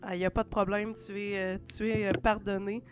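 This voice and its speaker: A woman's voice: mid-range voice, little pitch movement, brisk, clean tone, no echo. A woman with a Québécois French accent hosting a livestream while sick with a cold, chatty and full of "euh" fillers and playful asides. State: congested with a cold. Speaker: livestream host.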